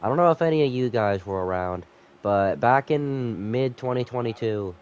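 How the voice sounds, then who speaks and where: A man's voice: mid-range voice, lively intonation, normal speed, darkish tone, smooth, dull audio. A man sick with a cold, slightly nasal, rambling casually to viewers as he commentates a live game stream.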